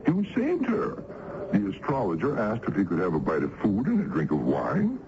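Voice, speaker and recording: An actor playing a sly, mocking supernatural stranger who teases humans in a theatrical old radio drama style. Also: male voice, fairly low pitch, wildly swooping pitch, normal speed, gravelly, full character voice. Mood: sly, smug. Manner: teasing, chuckling, theatrical. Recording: dull vintage radio recording, faint noise.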